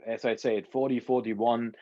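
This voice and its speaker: Measured male school representative, slightly muffled sound. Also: medium pitch, little pitch movement, dark tone. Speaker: culinary school representative, guest speaker. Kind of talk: informational interview answers at an education fair talk.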